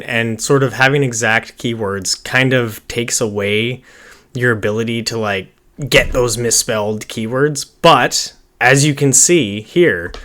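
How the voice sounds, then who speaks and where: A man's voice: medium pitch, fairly bright tone. A man in his thirties giving an informal screen-share tutorial, thinking aloud in a rambling way as he works at his computer. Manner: rambling, informal, muttering to himself while working. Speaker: online marketing tutorial host. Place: small indoor room at a computer.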